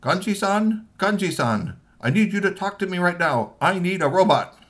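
A man's voice: on the low side, moderate pace, natural voice. A man voicing a short-tempered big boss who gives curt, demanding commands.

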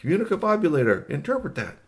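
A man's voice: a little high, sing-song, quick pace, voice pushed up. A man voicing a friendly, curious little male space alien in a children's story, with exclamatory, quaintly formal phrasing. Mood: eager.

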